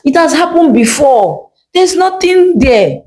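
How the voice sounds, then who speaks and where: A woman's voice: high-pitched, sing-song, slow, darkish tone, pitched above the performer's own voice, full character voice. Woman voicing a laid-back, stubborn young tailor. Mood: pained, pleading. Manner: casual, dismissive reassurances. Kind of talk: storytelling character voice.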